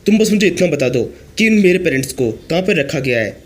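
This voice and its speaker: A hoarse man reading the lines of a cold, ruthless young cultivator who issues threats. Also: fairly high pitch, expressive, fairly quick. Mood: threatening. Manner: threatening, commanding.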